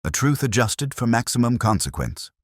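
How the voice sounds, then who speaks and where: A man voicing a patient, shrewd police inspector in a measured, understated way for an audio detective story. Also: mid-range voice, big pitch swings, dry recording, studio quality, pitched above the performer's own voice. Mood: measured, firm.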